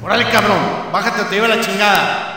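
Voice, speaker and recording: A man's voice: sits high, fast talker, lightly acted. A man plays an aggressive Mexican guerrilla in a radio drama, snapping coarse orders at speed. The voice rings from a distance in a very echoey space, urgent and threatening. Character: aggressive male guerrilla gunman barking orders in an ambush. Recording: roomy, distant, very noisy recording.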